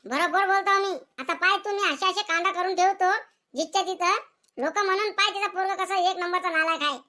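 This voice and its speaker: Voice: very high-pitched, fairly quick, sharp tone. A performer voicing a bratty, defiant village schoolboy in a comedic cartoon, whining and boasting in rural Maharashtrian Marathi. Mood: boastful threats. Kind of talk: comedic cartoon skit dialogue.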